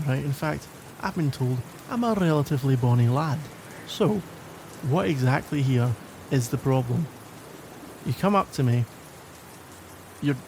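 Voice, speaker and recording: Male actor as young Glaswegian tsundere: exasperated, teasing, secretly caring, mid-pitched with creaky edge, for ASMR roleplay. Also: darkish tone, a little hiss or hum, lightly acted. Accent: Glaswegian Scottish. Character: tsundere young Glaswegian man, gruff, sarcastic, grudgingly caring. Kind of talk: scripted ASMR roleplay monologue.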